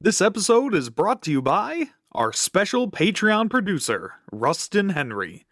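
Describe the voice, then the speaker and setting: A man's voice: high voice, very animated, measured pace, fairly bright tone. A man reading a scripted podcast sponsor announcement.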